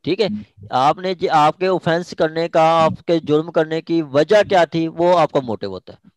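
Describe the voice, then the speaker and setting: A man's voice: a little high, unhurried, no room sound. A man with a Pakistani accent teaching an interactive online law class, often checking that students follow. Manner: checks understanding with repeated short questions.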